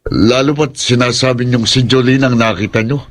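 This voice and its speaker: An actor playing a troubled, protective older brother in a horror audio drama, hesitant, earnest and brooding. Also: male voice, medium pitch, steady tone, normal speed, natural voice. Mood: disbelieving.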